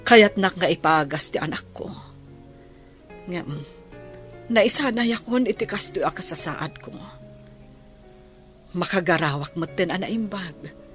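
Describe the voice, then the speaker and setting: A woman playing a poor, blind, long-suffering mother in a radio drama, speaking softly and with resignation. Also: lowish voice, unhurried, long pauses, soft background music. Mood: resigned. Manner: soft, resigned, apologetic.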